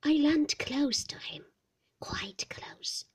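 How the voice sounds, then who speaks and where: A woman reading a first-person novel aloud, mostly in a breathy whisper. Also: sits high, animated, slow. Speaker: audiobook narrator.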